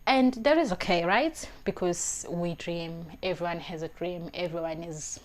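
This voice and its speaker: A woman's voice: lowish voice, measured pace. A South African woman in her twenties giving life advice to camera, earnest and emphatic, often repeating words as she searches for the next one, on a lapel mic in a small room. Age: 20s. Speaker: vlogger. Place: small indoor room, plain wall.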